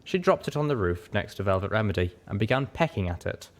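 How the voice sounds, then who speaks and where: A man's voice: fairly low pitch, big pitch swings, quick pace. A man narrating a first-person fantasy story as a dramatic audiobook reader.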